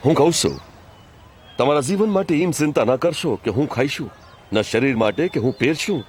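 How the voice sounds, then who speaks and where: Male actor as calm authoritative holy teacher, Kathiyawadi Gujarati accent, measured and earnest, lively intonation, music underneath. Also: mid-range voice, a little hiss or hum, lightly acted. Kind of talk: audio drama acting, preaching.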